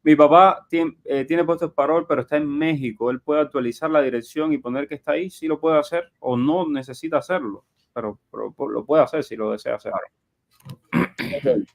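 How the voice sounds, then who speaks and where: A man's voice: fairly high pitch, normal speed, creaky. A man hosting a live question-and-answer show, reading audience questions aloud in a conversational way. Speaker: live show host and journalist.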